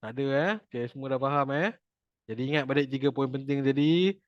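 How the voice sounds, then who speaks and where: A man's voice: medium pitch, fast, dry recording, lo-fi sound. A man with a Malaysian accent teaching an informal religious class, chatty and jokey, repeating his points for emphasis. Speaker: Quran recitation teacher.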